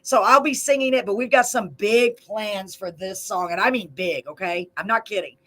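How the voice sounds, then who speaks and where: Gushing, excitable woman hosting live. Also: mid-range voice, moderate pace. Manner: gushing, enthusiastic, repeats phrases for emphasis. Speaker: live radio show host and amateur songwriter. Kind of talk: casual live show chat. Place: small local radio studio.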